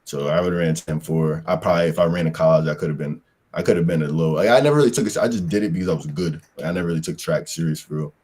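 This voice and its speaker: A young man with an extremely deep voice and a husky edge tells about his football and track years. His talk is loose and rambling, full of slang and "like" fillers, in a casual interview. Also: quick pace, a little mellow. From Pennsylvania. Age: young adult. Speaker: football player guest.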